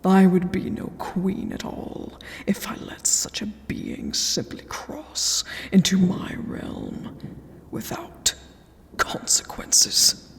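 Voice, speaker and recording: A woman's voice: mid-range voice, huge pitch swings, slow pace, exaggerated voice. A woman voicing an ancient vampire queen in a fantasy audio roleplay, regal and threatening, often dropping to a whisper. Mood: stern, questioning. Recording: long hall reverb, music underneath. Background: clear background music.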